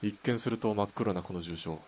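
A man playing a terse, cool sniper in a Japanese audio drama, deadpan and unhurried when talking shop. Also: lowish voice, natural voice. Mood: cool, absorbed.

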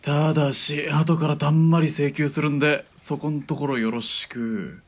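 An actor playing a grumbling, mercenary partner in an assassin duo in a radio drama, with a casual, sardonic way of talking. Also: male voice, fairly high pitch, fairly even intonation, moderate pace, lightly acted. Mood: wry. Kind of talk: Japanese radio drama acting.

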